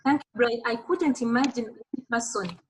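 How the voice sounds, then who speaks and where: Ugandan woman, higher register, earnest and grateful, slight restarts, faint background hiss. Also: moderate pace. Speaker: course participant. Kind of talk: thank-you speech on a video call.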